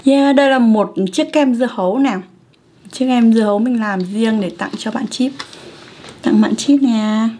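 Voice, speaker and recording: A woman's voice: a little high, normal speed, warm tone, slight background noise. A young woman from Vietnam giving casual ASMR eating commentary as a mukbang host. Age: young adult. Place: small indoor setup with a plain backdrop.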